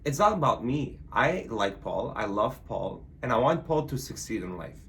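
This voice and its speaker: A man's voice: medium pitch, very animated, moderate pace, a bit bright, slight background noise. A man who teases his partner and lectures him, in a casual chat. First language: French. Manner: teasing, bossy. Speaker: vlogger's boyfriend.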